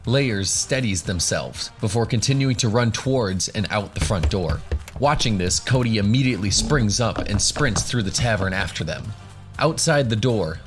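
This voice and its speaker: A man narrating a scripted fantasy audio drama in the role of game master. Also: fairly low pitch.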